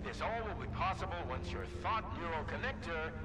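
An actor playing a grandiose Soviet scientist giving an oratorical, persuasive propaganda speech in a video game. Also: male voice, on the high side, expressive, a little fast, very noisy, lightly acted. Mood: grand, persuasive. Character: grandiose visionary Soviet scientist, director of a facility. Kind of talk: scripted public propaganda speech. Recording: roomy distant public-address sound under loud music.

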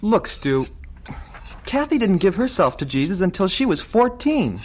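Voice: medium pitch, very animated, normal speed, darkish tone. An actor playing a reluctant, questioning twelve-year-old boy in a vintage radio drama, earnest and defensive.

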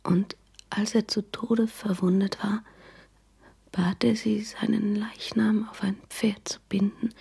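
An actress playing a fierce, loving dying mother in her thirties in a radio drama, whispering weakly and haltingly. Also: medium pitch, a little mellow, full character voice. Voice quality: breathy, whispering. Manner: weak, halting, defiant.

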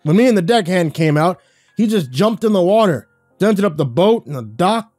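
A gruff old dockmaster, put on by a male storyteller, is spitting mad and yelling people off his dock. His voice is pushed up high and loud, and it stays warm and dry with faint music behind. Suits a told horror story. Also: expressive, normal speed, dry recording. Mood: furious.

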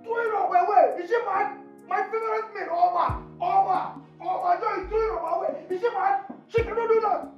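High-pitched excitable Nigerian man. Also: moderate pace, creaky, roomy sound, lo-fi sound, faint music behind, full character voice. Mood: outraged, frantic. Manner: exclamatory, repetitive, stammering. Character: excitable, food-loving male friend, comically fickle peacemaker. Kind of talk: broad comic film acting.

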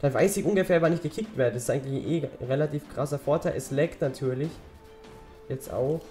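A teenage boy talks his way through a game, rambling and chatty, his voice slightly hoarse from a cold. His tone is mellow and dark, and faint music plays behind him. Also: fairly high pitch, fairly quick. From German-speaking Europe. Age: teens. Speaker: gaming channel host. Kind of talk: casual let's-play commentary.

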